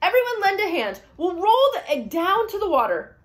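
A woman's voice: extremely high pitch, very animated, normal speed, voice pushed up. Woman putting on a cross, bossy old gnome. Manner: stern, commanding, drawn out.

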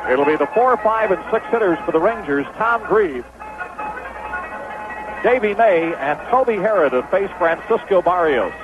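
A man's voice: sits high, animated, moderate pace, phone-quality sound, over music. An American man calling baseball play-by-play as a 1970s radio announcer. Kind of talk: 1970s radio sports commentary. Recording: noisy phone-band vintage radio broadcast.

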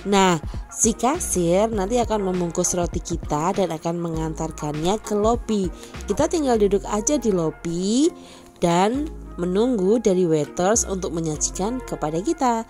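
Woman, Indonesian accent, scripted food vlog voice-over, upbeat, medium pitch, faint music underneath. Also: animated, a little slow, dark tone. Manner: upbeat, reads prices in lists. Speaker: food vlogger.

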